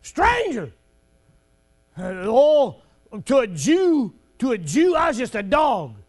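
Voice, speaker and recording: High-voiced preacher, folksy and shouting. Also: male voice, huge pitch swings, slow. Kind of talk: sermon.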